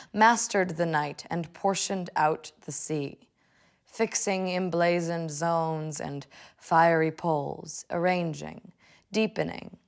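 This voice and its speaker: An American woman in her twenties, speaking and reading poetry with measured, deliberate phrasing. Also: on the low side, clear, resonant tone. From United States, Illinois. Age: 20s. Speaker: law student reading a poem. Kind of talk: personal reflection and poetry reading. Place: indoors, furnished living room.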